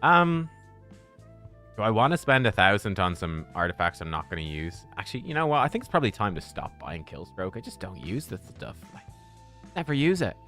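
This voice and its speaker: A man chatting casually on a live game stream, relaxed and thinking out loud as he plays. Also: fairly low pitch, brisk, faint music behind.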